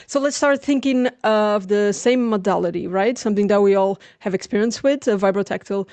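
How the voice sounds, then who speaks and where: A woman's voice: mid-range voice, a little fast, a little mellow, no echo. A young adult woman with a Portuguese accent, a native Portuguese speaker, giving an informal academic lecture. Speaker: lecturer, PhD researcher.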